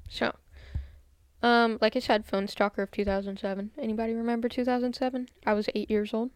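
Smooth-voiced woman in her twenties, chatty. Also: fairly high pitch, level tone, brisk, no room sound. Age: 20s. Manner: playful, teasing, says "bro" a lot. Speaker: podcast co-host. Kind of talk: casual chat.

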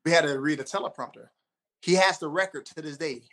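A man's voice: a little high, fast, a bit bright. A man co-hosting a casual chat, giving encouraging advice in a mentoring way.

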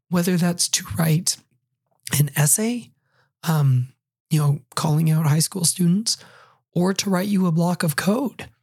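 Hoarse man hosting a solo podcast. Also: high voice, a little mellow, no room sound, studio quality. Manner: conversational with wry asides. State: hoarse, recovering from a cold and flu. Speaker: podcast host.

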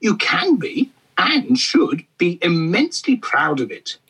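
Man as an eccentric professor, husky, swooping sing-song delivery, grandly self-assured. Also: sits high, huge pitch swings, slow, a bit bright, exaggerated voice. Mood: pompous. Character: eccentric half-Swedish male furniture professor. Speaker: guest expert. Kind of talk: comedy podcast interview.

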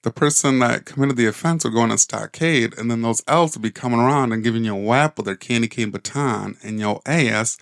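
A man with a mid-range voice co-hosts a comedy podcast, laughing often and adding deadpan quips. He is recorded close and dry. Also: mid-range voice, a little fast, vocal fry, hardly any pauses, no room sound. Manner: laughs often, adds deadpan one-liners. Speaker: podcast co-host. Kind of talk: casual comedic chat.

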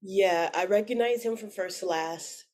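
A woman's voice: mid-range voice, a little slow, darkish tone. A woman in her twenties chatting as an interview guest, telling personal stories in an informal, slangy way. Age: 20s. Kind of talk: casual chat, storytelling.

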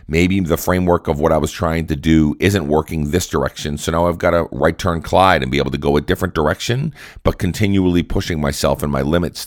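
Solo podcast host, male, deep and raspy with a cold, earnest pep-talk delivery. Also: dry recording, studio quality. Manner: earnest, repetitive, coaching tone.

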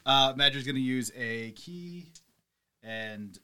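A man's voice: medium pitch, lively intonation, slow pace, background noise. A man playing a tabletop game, casually describing what his character does in the third person.

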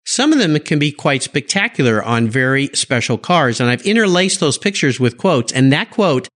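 A man's voice: mid-range voice, big pitch swings, normal speed, a bit bright. A man from San Diego hosting a car podcast, eagerly praising and questioning his guest. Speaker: podcast host. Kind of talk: interview podcast.

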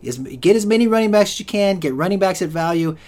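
A man's voice: high voice, lively intonation, fast, darkish tone. A man hosting a solo fantasy football podcast, rambling with lots of restarts and fillers, and he is losing his voice. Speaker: podcast host.

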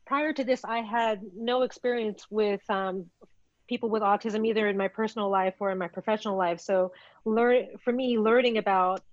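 A woman film producer giving a thoughtful interview answer in an earnest, halting way, on dull lo-fi audio. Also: mid-range voice, steady tone, no echo.

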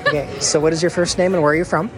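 A man hosting a travel vlog, asking strangers quick questions in a friendly, brisk way. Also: fairly high pitch, steady tone, over music, a crowd in the background, low-quality recording. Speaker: vlog host interviewing travelers. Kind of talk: casual vlog narration and street interviews.

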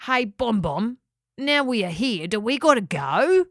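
A laid-back male pelican talks in casual slang, as a woman puts him on. His voice is a little high and relaxed. The sound is dry and close. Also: unhurried, no echo.

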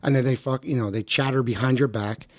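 A man hosting a podcast in casual chat, blunt and animated, often restarting his sentences. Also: medium pitch, quick pace. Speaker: podcast host.

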